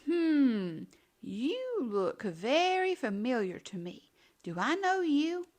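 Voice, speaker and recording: A woman's voice: sits high, very animated, slow, darkish tone, voice pushed up, exaggerated voice. A woman voicing a giant, kindly policeman in a children's storybook, playful and mock-dramatic. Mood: curious. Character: giant male police officer, playful, stone-faced, apologetic.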